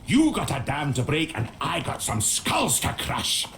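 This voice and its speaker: A man's voice: a little high, wildly swooping pitch, a little slow, a bit bright, slight background noise, exaggerated voice. An actor playing a battle-eager old medieval knight and mentor in a game, hearty, blustering and fatherly. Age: older. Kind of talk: dramatic video game cutscene acting.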